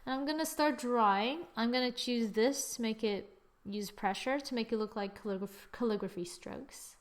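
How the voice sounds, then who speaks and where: A woman hosting a casual drawing software tutorial, thinking aloud informally and often murmuring as she works. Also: fairly high pitch, normal speed. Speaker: tutorial host. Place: screen-recording voice-over.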